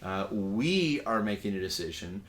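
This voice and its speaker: A man's voice: lowish voice, sing-song, moderate pace. A man with an American accent giving a casual, digressive lecture in a conversational, anecdotal way, like a university teacher.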